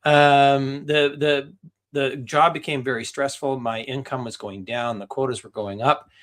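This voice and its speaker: A man's voice: mid-range voice, steady tone, unhurried, fairly bright tone. A middle-aged man from Canada, a guest on a live video call, telling his story in a friendly way with many fillers.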